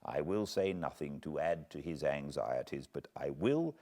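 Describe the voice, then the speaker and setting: A British man reading a classic novel aloud as an audiobook narrator, in a measured, expressive storytelling manner. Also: a bass voice. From Britain. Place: cozy spot by a fireplace at home.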